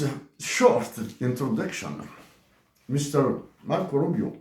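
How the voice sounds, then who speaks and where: A man from Algeria, whose first language is Tamazight, reads an open letter aloud in a slow, emphatic way, restarting words now and then. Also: medium pitch, darkish tone, echoey room. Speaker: commentator.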